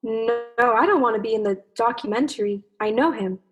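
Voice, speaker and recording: A woman's voice: on the high side, a little fast, over music, close to an everyday voice. A young woman drama student acting an indignant, menacing female fish in a radio play, with an insistent way of talking. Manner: insistent, threatening.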